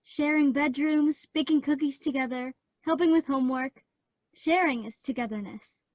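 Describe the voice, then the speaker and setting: An actress playing a breezy, sassy teenage sister in a radio play. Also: female voice, high voice, normal speed, a little mellow, no echo, lightly acted.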